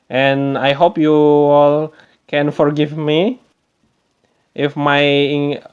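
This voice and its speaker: Halting Indonesian-accented man, slow. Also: medium pitch, a little mellow, smooth, muffled recording. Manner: halting, many "uh" pauses, searching for English words. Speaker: gameplay youtuber. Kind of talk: gameplay walkthrough commentary.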